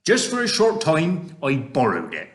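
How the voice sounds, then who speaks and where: A man's voice: fairly high pitch, wildly swooping pitch, unhurried, pitched above the performer's own voice. A man voicing a big friendly male giant who speaks in mangled grammar and odd invented words. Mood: gentle. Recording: roomy echo.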